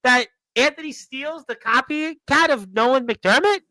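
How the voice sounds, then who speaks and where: A man with a bright, forceful voice set high in pitch hosts a wrestling radio show. The sound is dry and close. He talks casually, reading viewer comments aloud and reacting to them as they come in. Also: normal speed, dry recording.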